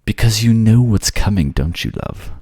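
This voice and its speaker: A man's voice: on the low side, animated, moderate pace, warm tone, husky, no echo, clean studio sound, voice pushed up. A man playing a suave, manipulative fantasy ruler who coaxes his captive in an intimate ASMR audio drama. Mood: tender teasing. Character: suave manipulative male water-folk ruler, soothing captor.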